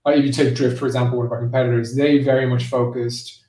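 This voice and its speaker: A man's voice: mid-range voice, level tone, quick pace, mellow, slight background noise. A man answering as a guest in a business interview, explaining things conversationally with plenty of "like" and "you know". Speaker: interview guest, marketing executive. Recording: roomy echo, distant from the mic.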